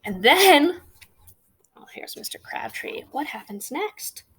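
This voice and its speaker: A woman's voice: high-pitched, very animated, slow pace, a bit bright. A woman guiding young children through a storybook, asking simple questions as a teacher would. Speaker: teacher leading a children's read-aloud.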